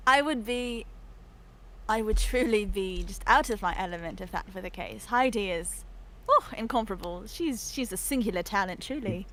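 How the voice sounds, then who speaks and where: A woman's voice: medium pitch, moderate pace, a bit bright, poor audio. A woman chatting casually on a livestream, effusive and theatrical, putting on a British accent with old-fashioned formal phrasing. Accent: put-on British.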